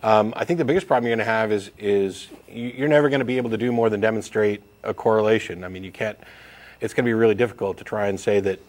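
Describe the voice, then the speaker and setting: A man hosts a live call-in show from a TV studio desk, his voice sitting a little low. He reasons aloud in a measured way, circling back and repeating words as he builds a point. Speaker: talk show host.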